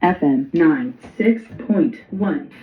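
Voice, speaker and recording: A man's voice: fairly high pitch, animated, takes it slowly, slight background noise. A synthetic male voice reading out numbers as a device announcer, clipped and mechanical. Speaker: radio's spoken tuning announcer. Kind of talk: synthetic readout of frequencies. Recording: dull small device speaker, lo-fi.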